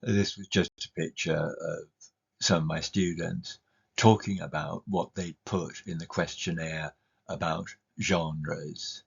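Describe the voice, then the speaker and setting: A man's voice: low-pitched, fairly even intonation, slow, gravelly, breathy edge, lo-fi sound. An older man, an English teacher, giving an informal recorded slide talk in a hesitant manner, often repeating words as he explains.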